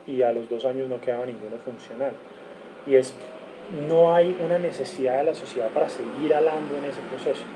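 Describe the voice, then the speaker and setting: A man's voice: mid-range voice, warm tone, a little hiss or hum, rough recording. A man from Cali, Colombia, with a Colombian accent, giving an earnest online seminar lecture over a video call, listing figures and often correcting himself. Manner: earnest, lists figures, frequent self-corrections. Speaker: guest lecturer.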